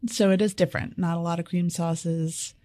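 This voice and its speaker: A woman, a restaurant co-owner talking in a relaxed, hesitant way in an interview. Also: on the low side, moderate pace. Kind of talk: casual interview.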